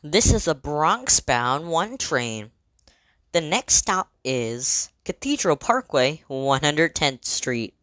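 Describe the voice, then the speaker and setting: A woman delivering scripted train announcements in a measured, formal, clearly segmented manner. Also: low-pitched, big pitch swings, fairly bright tone, no room sound.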